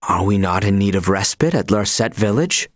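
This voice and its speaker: A man's voice: on the low side, expressive, normal speed, no echo, studio quality, close to an everyday voice. A man voicing a dutiful young knight escort in a video game, speaking formally in courtly, old-fashioned phrasing. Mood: earnest. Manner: formal, courtly and archaic speech. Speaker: voice actor. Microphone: close studio mic.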